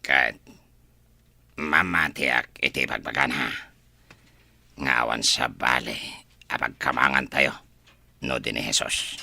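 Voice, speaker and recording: A man with an Ilocano accent playing a bitter imprisoned son in a radio drama, with a gravelly voice. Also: extremely low pitch, fairly even intonation, slow, pauses often, pushed and bright, no room sound, full character voice. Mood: earnest, reflective.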